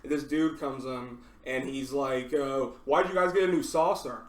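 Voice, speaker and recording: A man's voice: medium pitch, steady tone, normal speed, echoey room, distant mic. A man in his twenties, a podcast host, chatting casually, laughing often and acting out people in his stories. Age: 20s. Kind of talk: casual chat.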